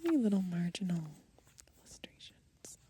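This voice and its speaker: A woman whispering softly and slowly in a gentle ASMR style, pausing between short phrases as she reads and browses through a book. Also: mid-range voice, sing-song. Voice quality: breathy, mostly unvoiced whisper. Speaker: ASMR host. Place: outdoors, lying in grass in the countryside.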